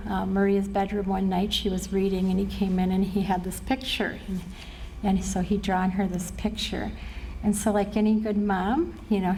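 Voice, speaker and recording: A middle-aged woman with an American accent giving an earnest, measured training presentation to a group as a presenter. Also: medium pitch, vocal fry, a little mellow, slight background noise, poor audio. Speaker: suicide prevention trainer and presenter. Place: presentation room at a care facility.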